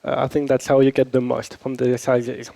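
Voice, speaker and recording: A man's voice: medium pitch, monotone, a little fast, gravelly, mellow, dry recording. A man with a French accent, based in Switzerland, leading a conference workshop in a chatty, informal way and asking the room questions, slightly under the weather from a cold. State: recovering from a cold. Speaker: workshop instructor. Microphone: handheld or lapel microphone.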